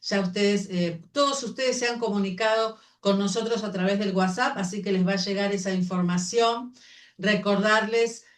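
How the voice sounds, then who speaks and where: A woman's voice: medium pitch, steady tone, moderate pace. A woman host closes an online masterclass with gracious thanks and careful announcements. Her voice is picked up from a distance, with noticeable room echo around it. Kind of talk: closing announcements on a livestream. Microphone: video call. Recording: echoey room, distant mic.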